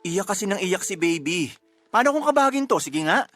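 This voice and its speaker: A man's voice: sits high, very animated, normal speed, a bit bright, dry recording, faint music behind. An actor playing an earnest, protective young husband and doting father in a Tagalog radio drama, emphatic and emotional. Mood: upset, insistent.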